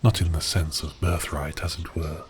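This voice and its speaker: A man playing a giant cursed sea beast prince in an ASMR audio roleplay, speaking in grand archaic phrases with a teasing, theatrical manner and a breathy voice that dips into whispers. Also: low-pitched, flat delivery, moderate pace, a little hiss or hum, lightly acted. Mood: wistful, resigned.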